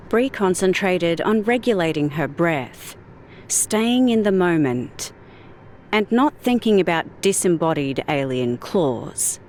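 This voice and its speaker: A woman reading a fictional story as an audiobook narrator, in a measured, deliberate storytelling manner. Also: on the low side, a little mellow, a little hiss or hum.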